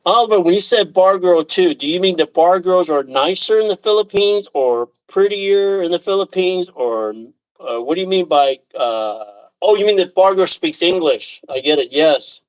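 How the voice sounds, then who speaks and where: A man from Taiwan hosting a casual live chat, talking in a halting, rambling way with frequent throat clearing. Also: high-pitched, lively intonation, low-quality recording. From Taiwan, left as a little boy. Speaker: live stream host.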